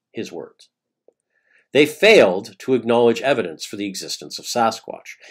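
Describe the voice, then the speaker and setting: A man reading a scripted monologue in a conversational storytelling style, emphatic, with rhetorical questions and dramatic pauses. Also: medium pitch, husky. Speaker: playwright reading own monologue.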